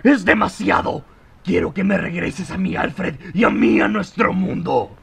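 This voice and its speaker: A man playing an exasperated, stubborn cartoon hero, gravelly and indignant. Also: sits high, a little slow, exaggerated voice.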